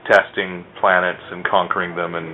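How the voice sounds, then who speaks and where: A man's voice: low voice, level tone, moderate pace, phone-quality sound, a little hiss or hum. A man hosting a podcast in a casual, conversational style. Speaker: podcast host. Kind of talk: casual comic-book chat.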